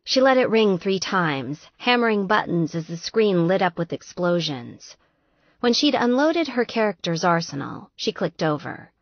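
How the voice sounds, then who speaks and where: A woman narrating an audiobook novel in an expressive, scripted storytelling style. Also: fairly low pitch, normal speed, no echo, lo-fi sound.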